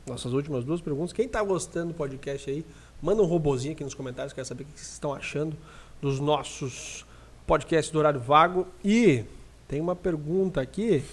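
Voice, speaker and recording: Podcast host, male, Brazilian accent, somewhat high register; informal, audience-facing live chat delivery. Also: brisk. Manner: informal, addresses listeners directly.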